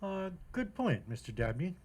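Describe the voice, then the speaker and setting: A man's voice: fairly high pitch, very animated, normal speed, darkish tone, husky. A man playing a pompous, declamatory judge in an old-time radio comedy. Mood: mock-solemn. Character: brisk theatrical male domestic-court radio judge.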